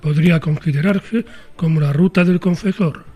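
A man reading a formal news voice-over in a clear way. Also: on the high side, normal speed, darkish tone, soft background music. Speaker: news narrator. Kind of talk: scripted voice-over narration.

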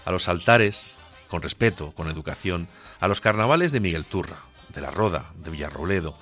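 A man from central Spain with a Castilian accent and a lowish voice delivers a scripted radio monologue. He speaks in a rhetorical, emphatic, declamatory way. Music plays faintly behind him. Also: animated, normal speed, a bit bright. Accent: central Castilian Spanish. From La Mancha, central Spain. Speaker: radio contributor. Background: music under the voice.

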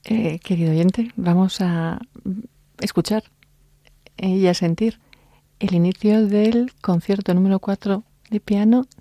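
A woman hosting a radio music program, interviewing a guest and addressing the listener directly in a measured way. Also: medium pitch, steady tone, warm tone, no room sound. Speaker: radio host. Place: radio studio.

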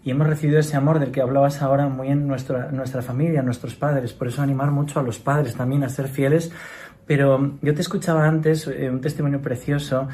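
Effusive Spanish radio priest host. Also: male voice, mid-range voice, little pitch movement, brisk. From Spain. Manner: warm, effusive greetings. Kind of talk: radio program presentation.

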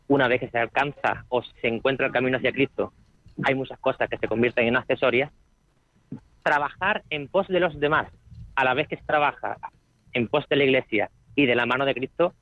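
A man's voice: medium pitch, no room sound. Young man, fervent, over a phone line. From Spain. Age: young adult. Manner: fervent, preacherly, quotes scripture. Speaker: youth volunteer guest. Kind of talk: earnest call to action on radio. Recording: tinny dry phone line.

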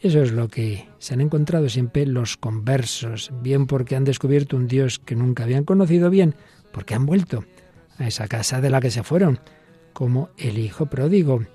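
Mellow-voiced priest hosting radio. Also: male voice, mid-range voice, breathy edge, soft background music. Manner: measured, thoughtful, quoting songs and authors. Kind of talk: reflective radio talk.

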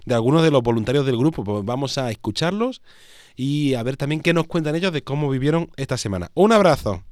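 A man's voice: mid-range voice, animated, fast talker, darkish tone, no room sound. A man with an accent from Spain hosting a conversational radio interview. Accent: Spanish from Spain. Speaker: radio host.

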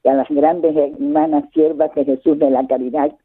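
A woman's voice: sits low, fairly even intonation, moderate pace, husky, no room sound. An older woman with a Cuban accent, speaking effusively as a caller to a radio show. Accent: Cuban Spanish. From Cuba. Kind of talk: casual greeting. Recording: narrow phone line on radio.

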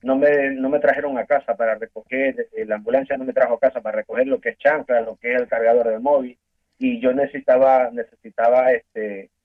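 A man from Ecuador with an Ecuadorian accent, earnestly telling his story as a guest in a phone-in interview, halting and restarting often. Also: medium pitch, fairly even intonation. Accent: Ecuadorian Spanish. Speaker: guest giving a testimony. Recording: tinny phone line.

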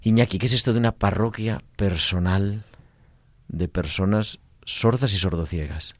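Male radio host, very low voice, chatty interviewer, dry studio sound. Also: normal speed, dry recording. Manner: lively, chatty questioning.

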